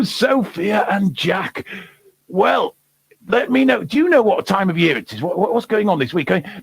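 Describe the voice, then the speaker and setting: A man voicing an ancient, excitable male wizard headmaster who hosts a children's story time, giggling and greeting viewers by name. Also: sits high, vocal fry, breathy edge, dry recording, full character voice.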